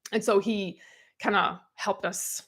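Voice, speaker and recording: A woman's voice: mid-range voice, steady tone, slow, a bit bright. A young adult woman, podcast co-host, talking casually and rambling with lots of fillers and self-deprecating asides. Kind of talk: casual chat.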